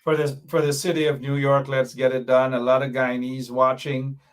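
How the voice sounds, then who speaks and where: A man's voice: mid-range voice, little pitch movement, moderate pace. A male talk show host wrapping up with a guest, loose and filler-heavy, calling on viewers by name. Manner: rambling, lots of fillers, calls out viewers by name. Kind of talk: casual talk show hosting.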